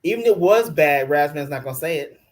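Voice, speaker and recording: A man co-hosting a casual recap show, chatty and quick to hedge his opinions. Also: a little high, expressive. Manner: chatty, hedging. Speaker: podcast co-host.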